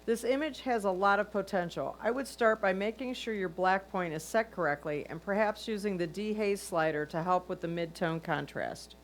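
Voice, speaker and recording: A woman's voice: normal speed, few pauses. A woman reading a judge's written comments aloud as a critique. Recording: noisy line with low hum.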